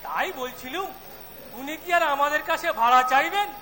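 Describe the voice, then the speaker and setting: A man's voice: very high-pitched, lively intonation, normal speed, sharp tone, heavily acted. A man acting a dandyish, ingratiating middle-aged neighbour, in an amateur audio drama. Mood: wheedling, flattering. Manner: hesitant, ingratiating. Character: dandyish, wheedling middle-aged male neighbour, quick to take offence. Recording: echoing hall, faint hiss or hum.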